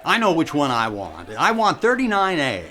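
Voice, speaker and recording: A man playing a fussy, penny-pinching middle-aged comedian in a radio comedy, with an exasperated, drawn-out theatrical delivery. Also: fairly high pitch, huge pitch swings, background noise. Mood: puzzled. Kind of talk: 1960s radio comedy recreation acting.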